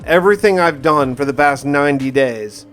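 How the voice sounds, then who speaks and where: A man with a slightly high voice narrates a video game as a survival diary. His delivery is theatrical and emphatic, and he stretches words out for drama. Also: normal speed, fairly bright tone, faint music behind. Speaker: gaming video host.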